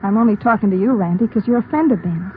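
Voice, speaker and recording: A woman's voice: mid-range voice, fast, close to an everyday voice. An American actress playing an anguished, bitter, tough-talking woman in old radio melodrama, emotional and slangy. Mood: fearful. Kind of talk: 1940s-50s radio melodrama acting. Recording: muffled, slightly noisy old radio recording.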